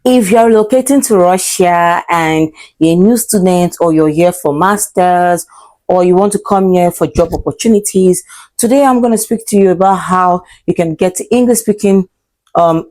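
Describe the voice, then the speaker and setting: A woman's voice: lowish voice, moderate pace, darkish tone. A woman in her twenties with a Nigerian accent, vlogging casually and rambling with fillers and self-corrections. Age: 20s. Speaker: vlogger and English teacher. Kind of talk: casual vlog talk to camera.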